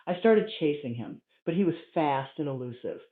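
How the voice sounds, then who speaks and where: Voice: mid-range voice, animated, normal speed. A person reading a humorous first-person story aloud as scripted narration. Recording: echoey room, thin phone-like sound.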